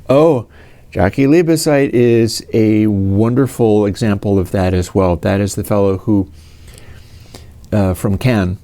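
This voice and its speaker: A man's voice: on the low side, mellow. A man in his fifties from the United States, answering viewer questions in a casual, rambling way with many fillers and restarts. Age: 50s.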